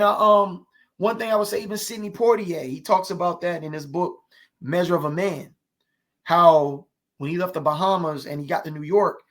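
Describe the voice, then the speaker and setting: A man with a notably high-pitched voice talks in a conversational interview. He tells stories loosely, punctuating them with rhetorical questions and small check-ins to make his point. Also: a bit bright. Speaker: podcast guest, actor.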